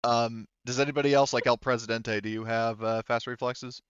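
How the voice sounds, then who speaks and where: A man's voice: mid-range voice, steady tone, a little fast, clear, resonant tone, no echo, lo-fi sound. A man talking casually as game master of a tabletop game, narrating and going over rules in an informal, sweary way.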